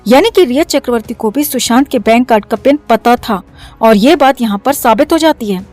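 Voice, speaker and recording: High-pitched woman narrating news over music. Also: animated, fairly quick, darkish tone. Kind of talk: scripted news commentary voice-over. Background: background music.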